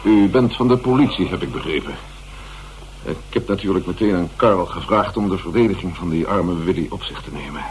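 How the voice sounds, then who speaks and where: Very deep-voiced, theatrical company director. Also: male voice, animated, fairly quick, low-quality recording. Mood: distraught, pleading. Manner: hesitant, repeating words, theatrical. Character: distraught, self-important theatre company director feigning reluctance. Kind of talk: radio play acting. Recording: hum and hiss of old radio recording.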